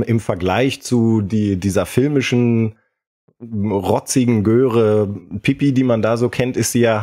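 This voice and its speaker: A man's voice: fairly low pitch, warm tone. A man co-hosting a podcast, talking casually in a reflective, wordy way. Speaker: podcast co-host.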